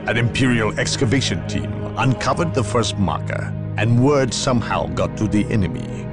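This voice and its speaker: Game inquisitor: a man playing a haughty, zealous evil-hunter, theatrical and expressive, measured gravity. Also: medium pitch, over loud music, natural voice. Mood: grave, ominous. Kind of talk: dramatic video game cutscene acting.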